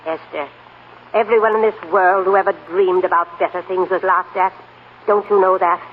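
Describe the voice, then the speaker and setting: A woman's voice: fairly low pitch, fairly even intonation, moderate pace, close to an everyday voice. Feisty old pioneer grandmother played by an actress, tinny radio. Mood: firm, comforting. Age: older. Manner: blunt, no-nonsense. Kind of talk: 1940s radio acting. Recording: tinny, noisy 1940s radio recording.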